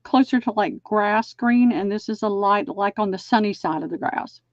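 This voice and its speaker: A woman's voice: mid-range voice, moderate pace, no echo. Middle-aged woman, Southern accent, folksy live-sale host, dry close sound, dull lo-fi stream audio. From Georgia, US. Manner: chatty, folksy, describes fabric while measuring. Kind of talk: casual live-stream selling.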